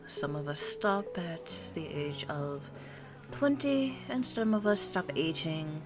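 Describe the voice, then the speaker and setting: A woman acts out an ageless pure-blood vampire girlfriend in an intimate ASMR roleplay. She speaks very slowly in a lowish voice, musing with small hums and a worldly, teasing air. Soft music plays behind her. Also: lively intonation. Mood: teasing, coy. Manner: unhurried, musing, pausing with hums before answering. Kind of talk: ASMR audio roleplay, intimate one-sided conversation. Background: soft background music with cafe ambience.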